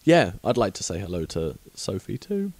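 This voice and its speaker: A man's voice: lowish voice, wildly swooping pitch, normal speed, darkish tone, no echo. A man from England hosting a podcast, chatting casually with frank, awkward rambling and many fillers. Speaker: podcast host.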